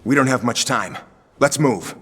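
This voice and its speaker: A husky, medium-pitched soldier of fortune for a video game cutscene. He is cocky and protective, with a brisk, streetwise manner. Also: a bit bright. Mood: urgent, commanding.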